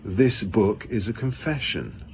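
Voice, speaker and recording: A man's voice: fairly low pitch, animated, measured pace, a little hiss or hum. A man playing a wily, jocular senior police commissioner in a naturalistic radio drama, blustery and insinuating. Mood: confiding, briefing.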